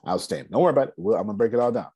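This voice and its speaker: A man in his forties giving a casual, energetic finance seminar talk, asking rhetorical questions and answering them himself. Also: fairly low pitch, very fast, breathy edge, no echo, clean studio sound. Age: 40s. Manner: loose, energetic, repeats key points. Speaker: finance speaker.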